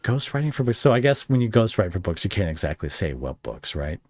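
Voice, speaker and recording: Animated man hosting a casual chat. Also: deep voice, fairly quick, dry recording, clean studio sound. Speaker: podcast host interviewing a guest.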